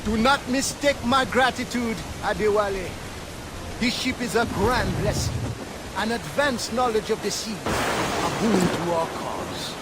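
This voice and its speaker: Resolute high-voiced rebel sailor. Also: male voice, expressive. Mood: earnest, resolute. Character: resolute male rebel freedom fighter and sailor. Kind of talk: dramatic video game acting. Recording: loud sea and rushing noise behind.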